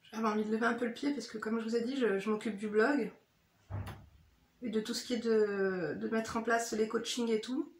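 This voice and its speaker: Woman, higher-pitched voice, informal makeup-chat vlogger, direct and slangy with the audience, recorded in a noticeably echoey room. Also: fairly even intonation, normal speed. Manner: familiar, slangy, addresses viewers directly with frequent "hein" tags. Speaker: beauty vlogger. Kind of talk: casual chatty get-ready-with-me makeup chat. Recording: roomy, echoey indoor sound.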